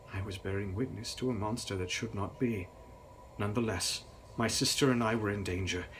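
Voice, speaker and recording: A man's voice: lowish voice, a bit bright, slight background noise, poor audio. A young man reading a gothic novel aloud in a grave, theatrical, dramatic style. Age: young adult. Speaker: author reading his novel.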